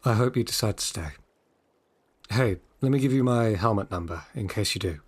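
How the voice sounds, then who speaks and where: Calm persuasive city guide in a scifi audio drama, reassuring, kinda breathy rough voice. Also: male voice, fairly low pitch, normal speed. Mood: gently persuasive. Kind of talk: full-cast audio drama acting.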